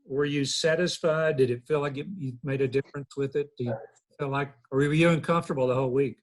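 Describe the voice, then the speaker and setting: A man's voice: mid-range voice, steady tone, quick pace. A man reading and moderating at a table read over a faintly noisy video call. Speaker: script narrator and moderator.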